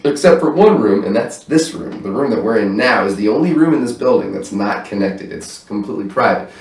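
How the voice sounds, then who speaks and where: A man's voice: on the low side, expressive, a little fast, gravelly, a little mellow. A man talking loosely in a casual interview, swearing freely and laughing often, in his own apartment room. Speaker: stage manager interviewed as guest. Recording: roomy echo, voice far from mic.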